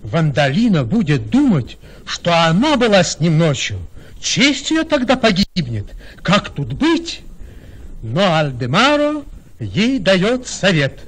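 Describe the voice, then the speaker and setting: A man narrates a radio play with a high, lively, swooping voice. He tells the story slowly and steadily. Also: rough recording. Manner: measured storytelling.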